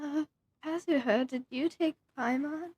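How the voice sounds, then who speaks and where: A young woman streaming a video game, muttering soft, drawn-out reactions as casual commentary. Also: high-pitched, dry recording.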